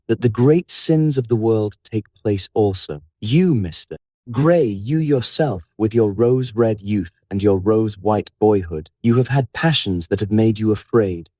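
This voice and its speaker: A man voicing a languid, persuasive lord who philosophises eloquently and deliberately in a low, musical audiobook character voice. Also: dark tone, no echo.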